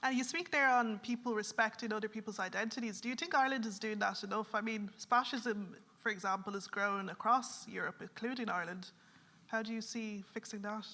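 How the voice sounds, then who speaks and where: Woman moderator, bright, sharp tone, brisk, direct. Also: medium pitch. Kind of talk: chairing a panel.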